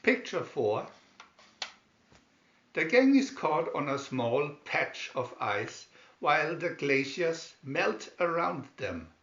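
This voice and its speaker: A man reading a children's picture-story booklet aloud in scripted narration, deliberately and with pauses. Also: a little high, very animated, fairly bright tone. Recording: dull lo-fi, roomy echo.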